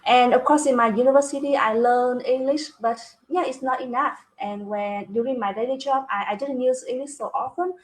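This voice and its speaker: A woman from Vietnam with a Vietnamese accent, co-hosting a casual talk show in English, animated and laughing often. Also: sits high, brisk, few pauses. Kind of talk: casual chat.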